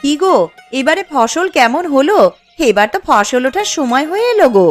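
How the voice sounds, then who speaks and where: A woman's voice: sits high, very animated, moderate pace. A woman voicing a chatty, admiring and conniving village wife in a moral-story cartoon. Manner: chatty, familiar. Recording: music under the voice.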